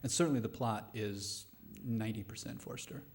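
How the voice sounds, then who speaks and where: A middle-aged man speaking thoughtfully and haltingly as a playwright answering questions at a live post-show discussion. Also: lowish voice, slight background noise. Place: live radio play venue before a studio audience.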